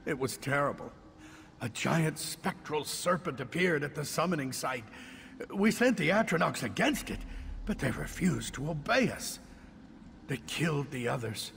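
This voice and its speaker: An actor playing a fearful, indignant middle-aged captive mage in a video game, agitated and theatrical. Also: male voice, fairly high pitch, expressive, moderate pace, creaky, a bit bright. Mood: shaken, ashamed. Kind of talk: video game dialogue acting. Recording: long reverb, background noise.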